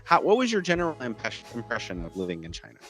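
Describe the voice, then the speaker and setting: A man's voice: mid-range voice, wildly swooping pitch, fast talker, fairly bright tone, soft background music. A man hosting an interview who asks curious, rambling questions and often restarts mid-sentence. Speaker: interview host.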